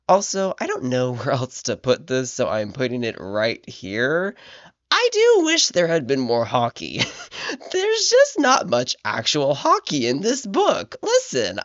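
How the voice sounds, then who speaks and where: A man's voice: mid-range voice, fairly bright tone, no room sound, muffled recording. A man with a congested voice from a cold, reading a scripted book review in a chatty way with asides. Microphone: voice-over over gameplay footage.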